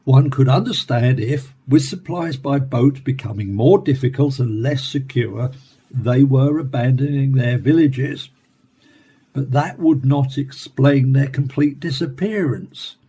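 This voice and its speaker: A man plays an academic expert in a science fiction radio play. His voice is mellow and mid-pitched, and he explains things in a formal, deliberate way. There is faint background noise around him. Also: natural voice. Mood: measured, speculative. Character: measured male Cambridge professor explaining alien attacks.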